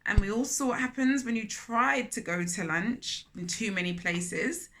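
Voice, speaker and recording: A woman reading a children's story aloud in an expressive manner, often turning to question young listeners directly. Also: mid-range voice, measured pace. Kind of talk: animated children's read-aloud with asides to listeners.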